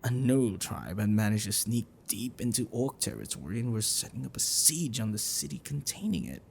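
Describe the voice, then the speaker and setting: Man playing a gentle dragon boyfriend, gravelly and animated. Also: mid-range voice, normal speed, slight background noise. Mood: earnest storytelling. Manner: hesitant, soft, caring, explanatory. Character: gentle bookish male dragon-blooded librarian, devoted boyfriend. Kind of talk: ASMR roleplay, speaking to the listener.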